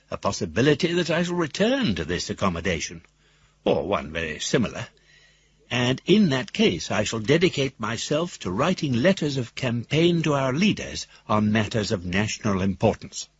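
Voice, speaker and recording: An actor playing a wry, pompous older gentleman rogue, husky, narrating his letters in ornate, wordy style for a radio drama. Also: medium pitch, dull audio, heavily acted. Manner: wordy, ornate, self-regarding.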